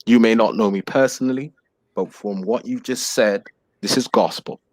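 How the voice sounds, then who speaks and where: A man in his thirties with a low voice, speaking earnestly and politely over a video call. The sound is dry and close. He is formal and measured, and he often calls others sir. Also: a little mellow, dry recording, rough recording. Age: 30s. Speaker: panel guest. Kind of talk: casual panel discussion.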